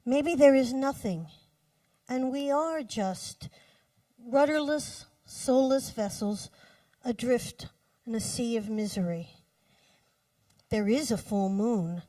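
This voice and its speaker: Woman, live poetry reading, higher-pitched voice, unhurried and deliberate, slightly distant microphone, little echo. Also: a little mellow. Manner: measured, deliberate phrasing with pauses. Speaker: poet reading own poems. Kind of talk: live poetry reading with brief spoken introductions.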